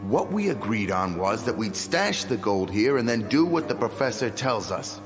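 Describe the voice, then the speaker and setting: A man's voice: lowish voice, sing-song, normal speed. An actor playing a tough, loyal gang henchman in a radio drama, with blunt, slangy, goading talk. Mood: firm, admiring. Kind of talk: old-time style radio drama acting. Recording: long hall reverb. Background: dramatic music.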